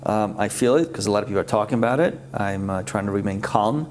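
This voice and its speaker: A man's voice: lowish voice, quick pace, a little mellow, slight background noise. A man, a guest in a conversational interview, explaining things and listing figures. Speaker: talk show guest.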